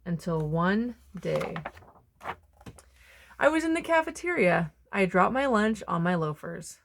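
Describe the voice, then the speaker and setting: A woman reading a children's picture book aloud, expressively, with dramatic pauses and emphasis. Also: fairly low pitch, lively intonation, gravelly, a little hiss or hum. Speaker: storybook reader.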